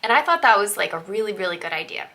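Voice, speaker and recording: A woman's voice: mid-range voice, expressive, quick pace, sharp tone. A woman in her thirties getting over a cold, chatting casually to camera with lots of fillers and asides. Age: 30s. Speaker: vlogger and author.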